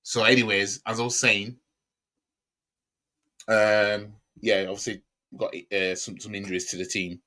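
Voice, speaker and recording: A man's voice: on the low side, little pitch movement, a little slow, long pauses, pushed and bright. A man with a Yorkshire accent giving a casual, opinionated football match preview on his stream, blunt and slangy. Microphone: streaming desk mic.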